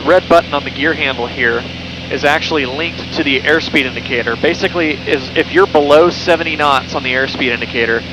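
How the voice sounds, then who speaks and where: A man's voice: fairly high pitch, brisk, over music, rough recording. A young man giving a casual, conversational cockpit tour, speaking through a headset mic in a small airplane in flight. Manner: relaxed, conversational, with fillers and self-corrections. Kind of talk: casual explanatory walkthrough. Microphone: headset boom mic. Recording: engine noise through intercom. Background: steady engine drone.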